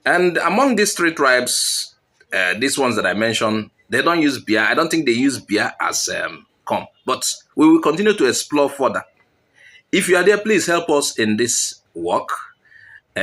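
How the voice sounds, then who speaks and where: A man's voice: mid-range voice, big pitch swings, moderate pace, sharp tone. A man, hosting a channel and giving earnest commentary straight to his viewers. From Nigeria. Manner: earnest, appealing directly to viewers. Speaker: channel host.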